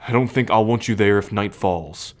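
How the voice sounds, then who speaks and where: A young man playing a troubled, protective male werewolf in an ASMR roleplay, speaking in a measured, halting, confiding way. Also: lowish voice, close to an everyday voice. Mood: earnest.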